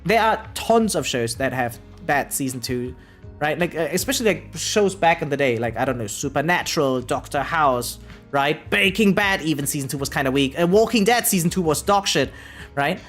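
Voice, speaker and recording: Game streamer, casual and opinionated, very lively intonation, mid pitch, light music underneath. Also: moderate pace. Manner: animated, opinionated, informal with swearing. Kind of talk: casual livestream chat.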